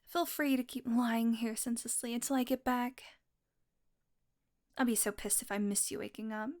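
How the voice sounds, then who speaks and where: A woman's voice: a little high, close to an everyday voice. A woman playing a sardonic, flirtatious female vampire in an intimate audio roleplay, with a sarcastic, playful, casually profane way of talking. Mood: sullen, teasing.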